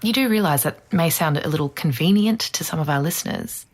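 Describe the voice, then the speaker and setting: Composed podcast host, slightly low register, measured, sceptical interviewing style for audio drama. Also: female voice, animated. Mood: sceptical, probing. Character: probing, composed female true-crime podcast host.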